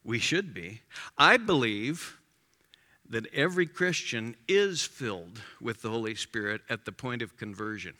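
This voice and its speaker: A man preaching a sermon in an emphatic way, his voice rising to loud exclamations. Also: mid-range voice, big pitch swings, measured pace, long reverb tail.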